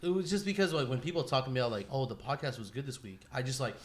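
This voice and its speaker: A man's voice: mid-range voice, rapid-fire. A man co-hosting a casual podcast, talking informally with friends in profane bro slang. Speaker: podcast co-host.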